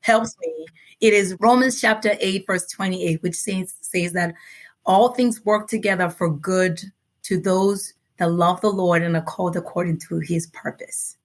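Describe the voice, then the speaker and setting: A woman from Nigeria, speaking American English with a light Yoruba tinge, talks as a guest on a podcast. She is earnest and reflective, laughs easily, and slows down to stress her points. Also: fairly low pitch. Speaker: guest author. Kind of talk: relaxed podcast interview.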